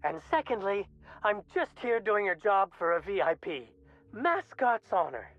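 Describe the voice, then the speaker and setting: A jittery company mascot in a comic video game. The delivery is folksy, flustered and theatrical, swinging widely in pitch. The sound is dull and slightly muffled. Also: female voice, very animated, measured pace. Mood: nervous bargaining.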